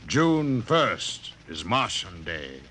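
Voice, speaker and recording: An actor in a 1950s radio drama playing a mysterious, courteous client who speaks formally and precisely on a vintage recording. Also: male voice, fairly low pitch, big pitch swings, pushed and bright, a little hiss or hum, heavily acted. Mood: courteous, commanding. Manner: formal, deliberate, precise diction. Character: mysterious, courteous, secretive male client with grand plans.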